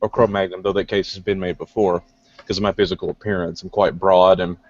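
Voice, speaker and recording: A man with a deep voice, talking as a guest in a casual online group discussion from a gym, thoughtful and hesitant with many fillers. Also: flat delivery, fairly quick, darkish tone. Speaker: guest newcomer. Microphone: video call. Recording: dull lo-fi online call audio.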